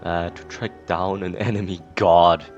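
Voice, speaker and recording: A man's voice: deep voice, level tone, a little slow, highs cut off. A man giving casual, laconic and hesitant commentary while playing a story game. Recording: game music faintly behind.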